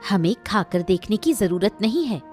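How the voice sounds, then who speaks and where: A woman's voice: medium pitch, expressive, moderate pace, darkish tone, faint music behind, close to an everyday voice. A woman playing a scheming, scornful, threatening mother-in-law in a cartoon moral story. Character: scheming, spiteful mother-in-law of a rich household.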